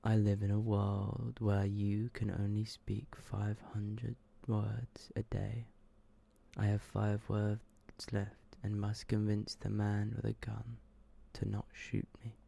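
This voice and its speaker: Hushed, gravelly man reading ASMR horror. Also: fairly low pitch, completely flat delivery. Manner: hushed, slow and deliberate, often dropping into whisper. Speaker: ASMR video creator and host. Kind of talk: ASMR, soft-spoken reading of short horror stories.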